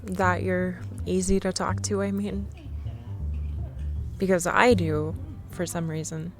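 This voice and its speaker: A young woman in a scripted ASMR roleplay, playing a shy, nervous stranger who rambles and laughs nervously in a soft voice. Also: fairly low pitch, level tone, slow, faint music behind.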